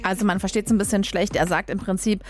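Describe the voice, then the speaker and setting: A woman's voice: medium pitch, fairly even intonation, fast. A young woman from Leipzig hosting a radio music show, chatting casually and correcting herself as she goes. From Leipzig, Germany. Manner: chatty and informal, with frequent fillers and self-corrections. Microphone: studio microphone.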